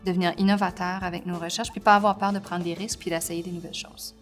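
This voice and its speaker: A woman's voice: lowish voice, little pitch movement, quick pace, faint music behind. A woman speaking earnestly in a documentary interview.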